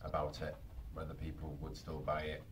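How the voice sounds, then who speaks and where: Very deep-voiced man, careful answers. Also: completely flat delivery, fairly quick, background noise. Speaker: band member. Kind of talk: casual interview answers.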